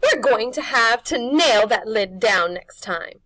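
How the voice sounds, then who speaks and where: A woman's voice: on the high side, expressive, slow, a bit bright, no echo, pitched above the performer's own voice, full character voice. A woman voicing a slimy female witch creature from a storybook, bullying and defiant, commanding with a mock sweetness. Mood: menacing, mock-sweet.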